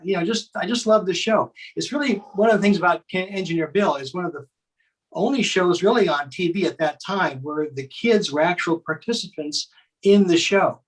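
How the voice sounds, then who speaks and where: A man with a husky, somewhat high voice reminisces as an interview guest. He speaks in a loose, conversational way. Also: moderate pace, rough recording. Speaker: interview guest, longtime fan.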